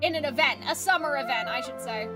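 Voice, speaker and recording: A woman's voice: sits high, fast. A woman giving chatty, rambling, reactive commentary while streaming a game. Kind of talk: casual live gameplay commentary. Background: music.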